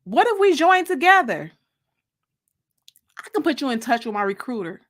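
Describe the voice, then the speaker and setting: A woman's voice: high voice, sing-song, moderate pace, fairly bright tone, exaggerated voice. An American woman reading the part of an earnest, persuasive young woman friend in a dramatic audiobook reading. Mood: hopeful.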